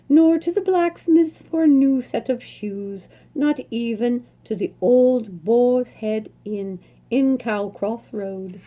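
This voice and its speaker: A middle-aged woman, a children's librarian, reading a picture book aloud in an expressive storytelling manner. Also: fairly high pitch.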